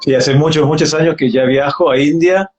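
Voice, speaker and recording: A man's voice: fairly high pitch, moderate pace, darkish tone. A man in his forties with an Argentine accent, chatting reflectively as a guest in a casual interview and often checking for agreement. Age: 40s. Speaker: yoga practitioner being interviewed. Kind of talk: casual live interview chat.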